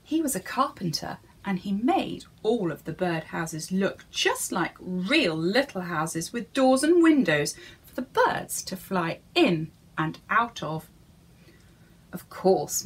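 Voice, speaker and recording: A woman's voice: medium pitch, fairly bright tone, husky. A woman reading a storybook to young children in a theatrical way, addressing her listeners directly.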